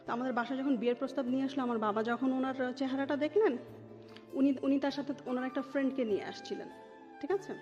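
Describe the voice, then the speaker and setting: A Bangladeshi woman in her thirties speaks in a fairly high voice, recounting personal experiences in conversation. She mixes in English words. The recording is a bit dull, with music playing behind her. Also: fast, darkish tone. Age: 30s. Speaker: interview guest. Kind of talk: personal life storytelling in conversation.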